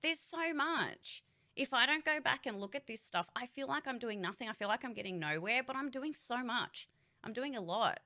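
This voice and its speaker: A woman's voice: a little high, expressive, moderate pace, pushed and bright. A woman voice actor and streamer giving a casual vlog update, candid and rambling, swearing freely.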